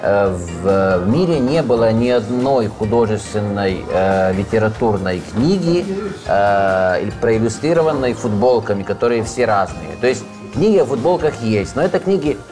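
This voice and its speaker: A man's voice: lowish voice, lively intonation, normal speed. Thoughtful man, hesitant, over background music. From Uzhhorod, western Ukraine. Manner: thoughtful, hesitant, with frequent filler sounds and self-corrections. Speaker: guest, author. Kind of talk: relaxed conversational interview. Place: cozy indoor room full of wall clocks, seated at a table.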